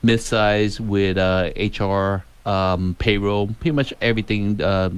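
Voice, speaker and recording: A man appearing as a first-time radio guest, friendly and hesitant, with many pauses and restarts. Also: fairly low pitch, steady tone, clean tone. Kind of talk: casual interview talk. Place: radio studio.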